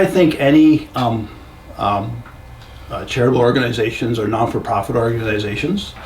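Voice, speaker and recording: An older man from Canada with a Canadian accent, giving plainspoken, blunt and somewhat halting answers as a local council candidate. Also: medium pitch. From Norwich, Ontario, Canada. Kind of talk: informal interview answers. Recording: distant, echoey, noisy room sound.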